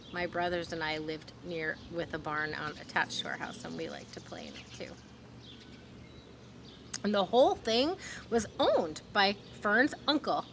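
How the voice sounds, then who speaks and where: A woman reading a children's story aloud, pausing to explain things simply as if to young listeners. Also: medium pitch, a bit bright, slight background noise. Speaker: storybook reader.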